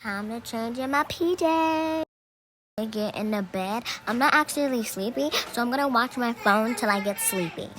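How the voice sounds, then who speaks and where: A female voice narrating a roleplay routine in a casual, halting, step-by-step way. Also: on the high side, a bit bright. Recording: clearly noisy home recording.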